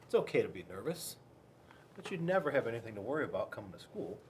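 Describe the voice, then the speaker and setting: An American man reading a picture book aloud to children, playful and rambling, with dramatic pauses and chatty asides. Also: mid-range voice, big pitch swings, slight background noise. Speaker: school resource police officer reading to children.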